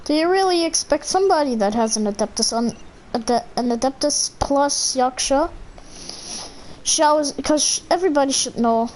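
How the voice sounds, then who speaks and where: A woman's voice: high voice, a little mellow, low-quality recording. A woman streaming a game with casual commentary and blunt, grumbling asides. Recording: faint background noise.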